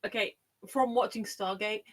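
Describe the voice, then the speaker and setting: A woman's voice: medium pitch, fairly even intonation, normal speed. A woman co-hosting a podcast, explaining things in casual conversation. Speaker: podcast co-host.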